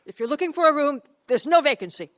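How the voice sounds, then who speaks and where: A woman's voice: high-pitched, expressive, fast. A woman acting in a radio play as a cold, suspicious older boarding-house keeper, curt and hostile.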